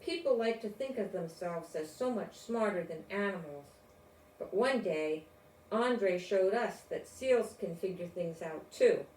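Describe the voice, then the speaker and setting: An older woman reading a children's storybook aloud in a deliberate, expressive way, with informal asides. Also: medium pitch. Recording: roomy, distant mic, faint noise.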